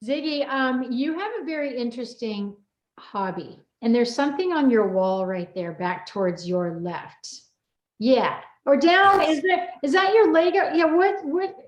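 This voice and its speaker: Female host for a casual panel chat, high voice, excitable, echoing room. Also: a little slow. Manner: excitable, repeats phrases. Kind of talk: casual group video chat. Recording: roomy, echoing sound.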